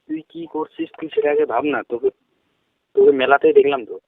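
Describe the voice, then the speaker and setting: A man's voice: very high voice, wildly swooping pitch, fast, creaky, dry recording. A man with a Bengali accent questioning someone confrontationally over the phone in short, repeated questions. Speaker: phone caller posing as a girl's uncle. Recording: tinny phone-line sound, dry.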